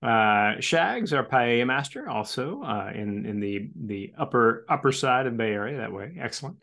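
A man's voice: medium pitch, lively intonation, normal speed, vocal fry, a bit bright. Upbeat man hosting a video call. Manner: friendly, repeats words, upbeat. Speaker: club meeting host. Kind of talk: hosting an online club meeting.

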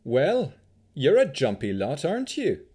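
A man's voice: mid-range voice, huge pitch swings, measured pace, mellow, slightly rough, voice pushed up, full character voice. A man voicing a jaunty, self-assured female swashbuckling traveller with a fantasy accent, breezy and unbothered. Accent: Vistani-style fantasy accent.

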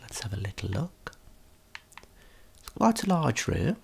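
A tour guide giving a gentle, unhurried ASMR tour in a breathy whisper, explaining things as they go. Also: medium pitch, big pitch swings.

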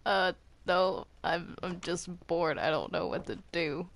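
A woman's voice: on the low side, measured pace. A woman playing a grumpy, defensive male cartoon villain in improvised game roleplay, stammering and snapping in denial. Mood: awkward, bored. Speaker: player roleplaying on a Minecraft server.

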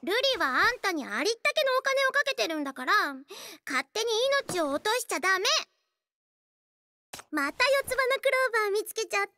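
A woman's voice: extremely high pitch, big pitch swings, fairly quick, bright, forceful tone, exaggerated voice. An actress playing a playful, cocky young girl assassin who loves gambling, in a cute, teasing anime game style. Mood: affectionate, teasing. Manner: cute, teasing, refers to herself by name.

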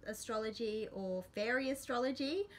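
A woman in her thirties, a little sick with a slightly croaky voice, chatting to camera in a small bedroom in a rambling way with frequent ums. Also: fairly high pitch, moderate pace. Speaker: substitute host of a witchcraft vlog. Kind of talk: casual to-camera vlog talk. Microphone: built-in camera mic at close range.

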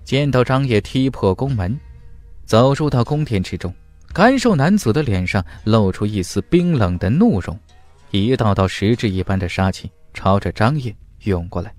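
A man's voice: on the low side, expressive, moderate pace, darkish tone, no echo. A man narrating a fantasy audiobook in a dramatic, scripted style over music. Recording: dry studio voice over music.

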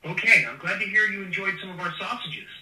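A man's voice: high voice, lively intonation, quick pace, pushed and bright. A synthetic male voice working as a polite phone customer service agent, played from a phone speaker. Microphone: phone loudspeaker picked up across a short gap. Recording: roomy, distant phone speaker playback.

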